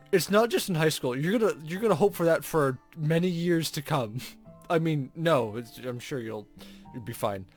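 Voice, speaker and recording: A man hosting a gaming video, reading a visual novel aloud expressively with informal commentary. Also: a little high.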